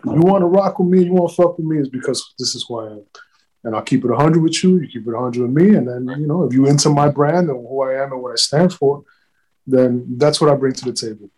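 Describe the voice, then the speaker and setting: A man's voice: mid-range voice, fast talker, a little mellow, husky, poor audio. A man, a guest artist talking bluntly and swearing freely in a casual podcast chat. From South Bronx, New York.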